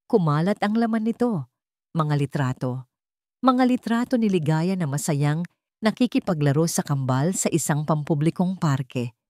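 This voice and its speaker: A woman's voice: sits low, lively intonation, moderate pace, darkish tone, no echo. A woman narrating a scripted dramatic story.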